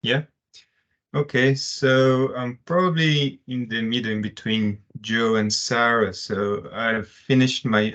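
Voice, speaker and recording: A man's voice: on the low side, vocal fry, fairly bright tone. A man from Brazil with a Brazilian Portuguese accent, a research fellow explaining his work in an online presentation. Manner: explanatory, occasionally searching for words. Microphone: video call. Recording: lo-fi video call audio.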